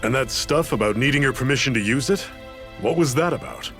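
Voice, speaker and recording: Wry rebel leader, lively delivery. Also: male voice, mid-range voice, brisk, faint music behind. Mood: measured, probing. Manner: sardonic, casually commanding. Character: seasoned, wry rebel leader, gruff but fair man. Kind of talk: video game cutscene acting.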